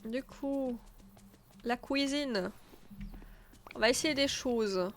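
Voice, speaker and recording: A woman's voice: a little high, takes it slowly, a little hiss or hum. A woman with a stuffy nose chatting casually as she streams a game, thinking aloud and answering her chat. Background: faint game music.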